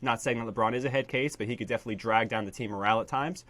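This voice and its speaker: A man's voice: mid-range voice, rapid-fire, fairly bright tone. A young man co-hosting a sports podcast, talking casually with a nasal, congested voice from a cold. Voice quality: stuffy, nasal. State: congested, getting over a cold. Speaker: podcast co-host. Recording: faint background noise.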